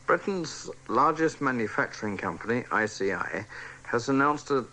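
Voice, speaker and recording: A British man delivers a radio news bulletin. His voice is of medium pitch and his reading is formal and scripted. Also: animated, moderate pace, pushed and bright, poor audio. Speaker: radio newsreader.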